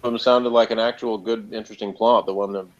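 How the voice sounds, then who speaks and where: A man's voice: on the low side, steady tone, a little fast. Sardonic man chatting, slightly dull audio. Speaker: podcast reviewer. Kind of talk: casual review chat.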